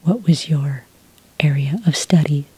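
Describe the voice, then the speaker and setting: A woman acting as a friendly office clerk in an ASMR roleplay, very breathy and half-whispered. She takes her time, speaking slowly and gently with patient, official politeness. The sound is close and dry. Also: sits low, dry recording, full character voice. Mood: calm, polite. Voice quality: breathy, soft, near-whisper. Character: friendly, patient female licensing clerk for werewolf hunters. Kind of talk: soft-spoken ASMR roleplay. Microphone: close, dry microphone.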